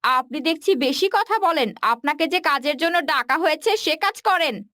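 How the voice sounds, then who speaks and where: A woman's voice: high-pitched, expressive, normal speed, bright, forceful tone, no echo, studio quality. A woman voicing a stern, insistent villager in a cartoon, with a firm, bossy manner. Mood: impatient. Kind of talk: cartoon dialogue.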